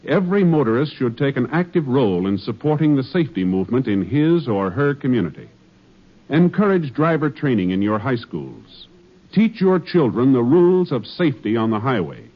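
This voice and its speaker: A man's voice: mid-range voice, sing-song, normal speed. A man announcing in formal, emphatic 1950s radio style, reading from a script. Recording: dull 1950s radio broadcast with music.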